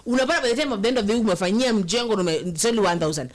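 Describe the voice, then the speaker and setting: A Kenyan woman co-hosting a casual live chat, speaking emphatically and quickly in mixed English and Swahili. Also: mid-range voice, expressive. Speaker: co-host reading viewer comments.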